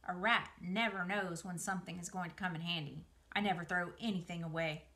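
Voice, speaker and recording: A woman's voice: on the low side, fairly even intonation, a little fast, pushed and bright. Surly boastful rat, read by a woman. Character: surly, boastful male rat, self-satisfied hoarder.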